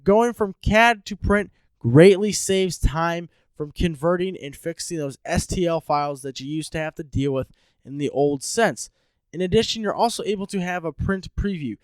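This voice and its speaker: A man's voice: fairly high pitch, normal speed, clear, resonant tone, dry recording. A man hosting a solo podcast, stuffed up with a head cold, explaining things in an upbeat, informal way. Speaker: podcast host.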